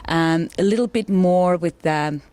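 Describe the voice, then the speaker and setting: A woman in her forties from Finland, a native Finnish speaker, answering interview questions as a political candidate and often pausing to find her words. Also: lowish voice, warm tone, dry recording. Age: 40s. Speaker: mayoral candidate being interviewed. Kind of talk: interview answers about personal and career background.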